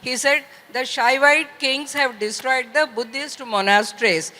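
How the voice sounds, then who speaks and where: A woman with an Indian accent giving her opinion as an audience member, in halting phrases with repeats. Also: high voice, bright, forceful tone. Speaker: audience member asking a question. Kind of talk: live audience question, opinion. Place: large festival hall. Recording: reverberant hall sound system.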